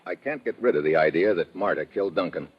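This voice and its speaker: Low-voiced hard-boiled American agent. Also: male voice, animated, breathy edge. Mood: musing, frustrated. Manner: brisk, hard-boiled, questioning. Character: tough male American government agent investigating a murder. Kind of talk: 1950s radio detective drama acting. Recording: muffled, noisy old radio recording.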